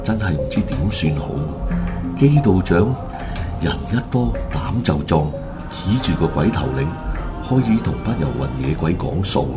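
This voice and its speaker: A man's voice: sits low, big pitch swings, moderate pace, loud music behind the voice. A man with a Hong Kong Cantonese accent narrating a scripted radio drama story.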